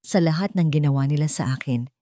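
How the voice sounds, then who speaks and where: Woman as betrayed Filipino wife, audio drama: low, wounded, resolute, dry close recording. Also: fast, warm tone, dry recording, lightly acted. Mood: hurt, final. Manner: trembling but resolute. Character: wounded wife, coldly disappointed and final.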